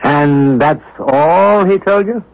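An actor playing an observant, composed amateur sleuth in a radio mystery drama, reasoning aloud in a deliberate, inquisitive way. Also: male voice, fairly high pitch, gravelly. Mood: probing. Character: observant, composed amateur sleuth, a man puzzling over clues. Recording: dull lo-fi old radio broadcast recording.

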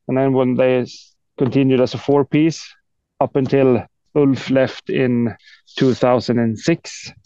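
A man's voice: medium pitch, mellow, clean tone, no echo, muffled recording. A man from Sweden with a Swedish accent chats casually as an interview guest, in a hesitant, self-deprecating way. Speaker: guest, death metal guitarist.

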